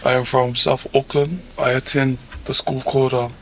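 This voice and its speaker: NZ teen boy, very flat delivery, self-introduction. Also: mid-range voice, normal speed, a little hiss or hum. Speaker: team member, student.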